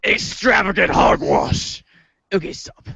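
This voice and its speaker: A man voicing a gruff, self-serious superhero with a gravelly, husky rasp in a pompous, melodramatic parody style. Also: sits high, slow, bright, forceful tone, no room sound, heavily acted. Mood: exasperated. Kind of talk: read-along storybook parody acting.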